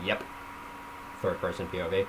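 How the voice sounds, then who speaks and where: Low-voiced man reading aloud, noisy recording. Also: level tone, moderate pace, fairly bright tone. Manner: informal, self-critical commentary while reading. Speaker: reader of their own story. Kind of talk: casual read-aloud of a story with off-script asides.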